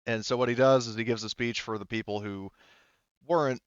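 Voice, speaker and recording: A man acting as game master for a tabletop roleplaying game, explaining rules and scenes in a halting, conversational way on a close microphone. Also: medium pitch, fairly even intonation, clean tone, dry recording, lo-fi sound.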